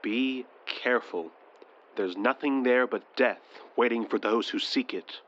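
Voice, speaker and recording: A man's voice: medium pitch, a little slow, fairly bright tone, muffled recording, lightly acted. A man playing a polite, doubtful civilian survivor in an audio drama, with a formal, wry manner. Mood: wary, skeptical.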